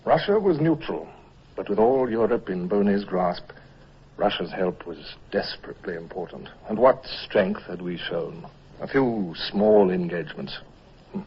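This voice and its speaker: An actor playing a brisk, brooding British naval commodore in an old-time radio serial, speaking in a clipped, commanding way. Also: male voice, low-pitched, animated, slight background noise. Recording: muffled old radio recording, faint music.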